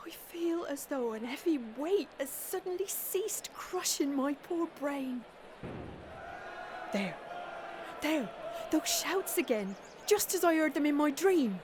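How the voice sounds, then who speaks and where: A woman's voice: high-pitched, lively intonation, slow, darkish tone, slight background noise. A woman playing a fragile, haunted, grief-stricken madwoman in a Victorian audio melodrama, with anguished theatrical delivery. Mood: dazed, haunted.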